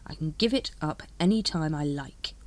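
A woman's voice: low voice, moderate pace, no room sound, lightly acted. Woman reading a scheming cult leader. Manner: scheming, contemptuous inner thoughts. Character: scheming male secret society leader.

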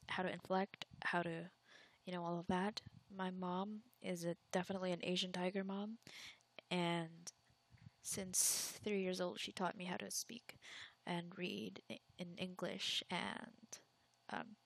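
A young woman from the Philippines chatting candidly in a casual Q&A, rambling with frequent fillers and restarts. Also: on the low side.

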